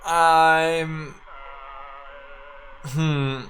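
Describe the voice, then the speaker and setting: A man giving casual let's play commentary as a gaming video host, haltingly thinking out loud as he goes. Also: on the high side, level tone, faint music behind.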